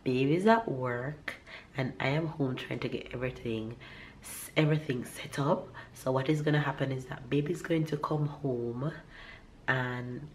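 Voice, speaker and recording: Young Jamaican woman vlogger, very low and a little hoarse, rambling chat to camera, faint room hiss. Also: unhurried. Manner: rambling, warm, upbeat, with restarts. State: slightly hoarse, recovering from a cough. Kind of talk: casual vlog chat to camera. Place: living room at home.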